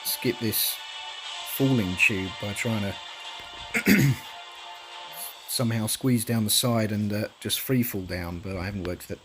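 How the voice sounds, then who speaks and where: Relaxed man, nasal from a cold. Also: lowish voice, a little slow, long pauses. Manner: relaxed, rambling, frequent ums and uhs. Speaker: speedrunner commentating his run. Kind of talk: casual live commentary over gameplay. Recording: game music playing behind the voice.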